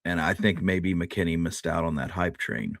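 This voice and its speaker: Low deep guy on a history podcast, explaining stuff conversationally, really dry sound. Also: moderate pace, no echo. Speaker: podcast co-host.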